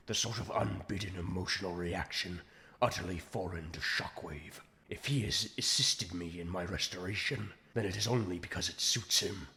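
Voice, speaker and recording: A man's voice: sits low, sharp tone, heavily acted. A man playing a commanding, brooding robot warlord in a fan comic dub, with grandiose, menacing, theatrical oratory. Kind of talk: dramatic villain monologue and narration, fan comic dub.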